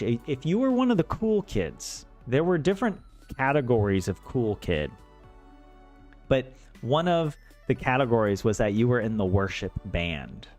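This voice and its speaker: A man from the American South talks off the cuff to his stream with lively, swooping intonation. His pitch sits in the middle of the male range, and he rambles with dry self-deprecating jokes. Music plays softly behind him. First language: English. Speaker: streamer learning Finnish. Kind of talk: casual livestream chat.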